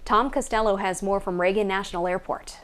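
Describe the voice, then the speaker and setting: American news anchor lady, medium pitch, reading a scripted intro. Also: fairly even intonation, a little fast, fairly bright tone, clear, resonant tone.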